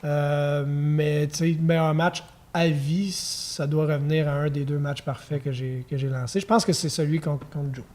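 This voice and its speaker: A man in his thirties with a Quebec French accent, a former pitcher chatting as a podcast guest, telling stories easily with chuckles and fillers. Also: moderate pace, hardly any pauses. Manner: relaxed storytelling with fillers and chuckles. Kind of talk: casual podcast conversation.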